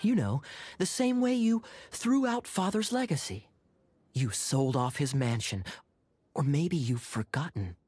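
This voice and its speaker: A man voicing a suave, teasing young gentleman in a video game cutscene, smooth and sardonic. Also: a little high, sing-song, a little slow, full character voice. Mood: needling.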